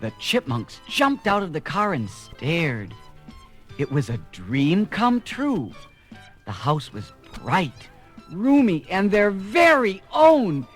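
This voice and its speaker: Animated male children's storyteller. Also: high voice, takes it slowly, soft background music. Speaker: narrator. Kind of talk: scripted children's story narration.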